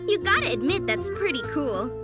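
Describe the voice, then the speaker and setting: A woman's voice: sits high, sing-song, fairly quick, over loud music. A woman voicing a dreamy, friendly little girl in a video game, childlike, giggly and earnest.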